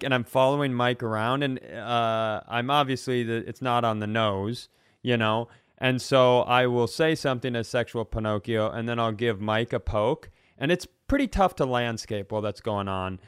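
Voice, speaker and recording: A man hosting a comedy podcast, telling a story in a casual, comic way with an animated, stop-and-start delivery. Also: mid-range voice, normal speed, smooth, dry recording, clean studio sound.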